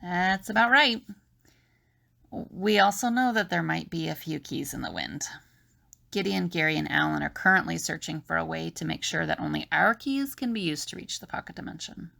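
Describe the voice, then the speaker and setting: A woman's voice: fairly low pitch, animated, normal speed, vocal fry, bright, forceful tone, exaggerated voice. A woman playing a resolute, earnest fugitive in an amateur audio drama. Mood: earnest, explaining.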